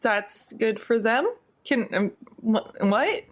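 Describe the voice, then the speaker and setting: A woman's voice: a little high, animated, takes it slowly. A woman playing a tabletop roleplaying game, bantering casually in a playful, joking manner.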